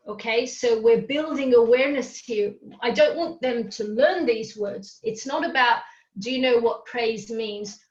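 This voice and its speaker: Hungarian-native woman giving a webinar over a video call, kinda high voice, echoey and muffled audio. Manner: explanatory, often checks in with "okay?". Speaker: webinar presenter on language coaching. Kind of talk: live webinar talk.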